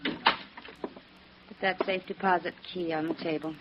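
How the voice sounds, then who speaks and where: Cool femme fatale, noisy recording. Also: female voice, lowish voice, fairly even intonation, takes it slowly. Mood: cold, commanding. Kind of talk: 1950s radio noir acting. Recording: very noisy, dull vintage radio recording.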